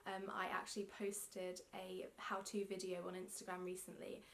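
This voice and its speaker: A woman's voice: on the low side, monotone, normal speed, gravelly. A young woman with a London English accent, chatting casually to camera as a knitting vlogger, a little self-conscious with frequent ums. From England. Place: indoor room with yarn shelves.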